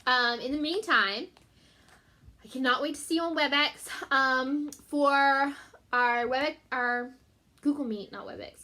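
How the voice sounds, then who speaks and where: A woman's voice: high-pitched, unhurried, sharp tone. A woman teacher reading a children's storybook aloud, expressively, with chatty asides and simple explanations for her pupils.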